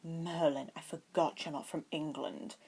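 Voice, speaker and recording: A woman's voice: low-pitched, fairly even intonation, a little slow, a little mellow, slight background noise. A woman voicing a wry, gloating, theatrical young schoolboy in a story reading. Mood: mournful.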